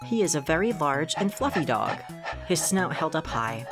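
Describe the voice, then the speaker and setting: A woman's voice: sits low, very animated, normal speed, over music. A woman acting as the wry, easily sidetracked narrator of a fan-dubbed visual novel, with conversational asides. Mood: wry, descriptive. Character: second-person narrator, wry, rambling, easily sidetracked visitor.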